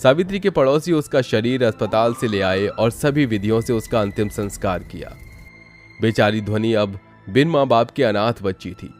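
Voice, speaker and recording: A man's voice: on the low side, normal speed, darkish tone. A man narrating a scripted story in a dramatic storytelling style. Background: background music.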